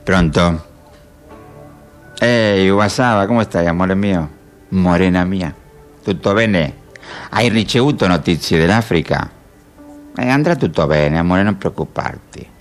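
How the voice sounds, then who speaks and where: Man acting a low-voiced tender sweetheart. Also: animated, measured pace, soft background music. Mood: tender, playful. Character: tender, playful man phoning his sweetheart. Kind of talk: radio play acting.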